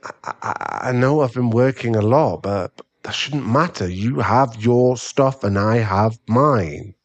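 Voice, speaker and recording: A man playing an irritable, weary sugar daddy boyfriend who wants space, in a halting, sighing ASMR roleplay audio drama. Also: medium pitch. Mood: placating.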